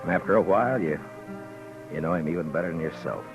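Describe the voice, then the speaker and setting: A man with an American accent playing a weary, terse frontier marshal who narrates deliberately in a 1950s radio drama. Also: deep voice. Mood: reflective, grave. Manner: laconic, deliberate. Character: weary, terse frontier lawman narrating his own story. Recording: muffled old radio recording with music.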